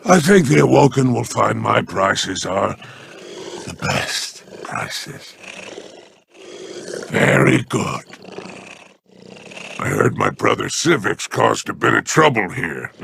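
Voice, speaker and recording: A gravelly, sly merchant. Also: male voice, on the low side, very animated, takes it slowly, long pauses, background noise, full character voice. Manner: silky, menacing salesman's drawl. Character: sly scheming male merchant trader. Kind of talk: video game character lines.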